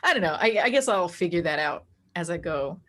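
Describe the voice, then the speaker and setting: A woman chatting casually as an interview guest, animated and quick to laugh, with a storyteller's way of talking. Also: on the low side. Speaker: interview guest, tabletop game master. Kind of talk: casual interview chat, telling anecdotes.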